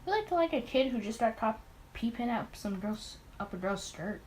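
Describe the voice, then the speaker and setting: Playful male boss for a visual novel , acted by a woman, chatty and teasing, very animated delivery. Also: on the high side, moderate pace, slight background noise, close to an everyday voice, rough recording. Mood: teasing, nosy.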